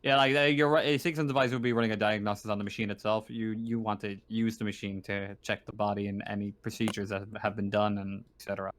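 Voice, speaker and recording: A man running a tabletop roleplaying game as game master, thinking aloud in a halting way as he improvises. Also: lowish voice, little pitch movement. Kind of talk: narrating and refereeing a tabletop game.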